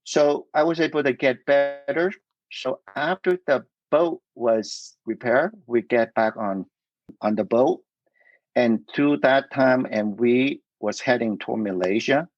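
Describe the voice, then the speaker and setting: A middle-aged man from Vietnam whose first language is Cantonese, telling his life story in a casual interview, halting with many fillers and restarts. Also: medium pitch, no echo, lo-fi sound. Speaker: guest.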